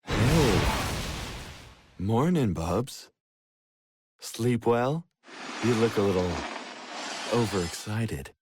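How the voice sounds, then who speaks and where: A man's voice: lowish voice, lively intonation, drawn-out words, pauses often, background noise. A man voicing a sly, flirtatious villain who relishes chaos, teasing and suggestive, in a theatrical video game dub. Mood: mocking. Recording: loud game music behind.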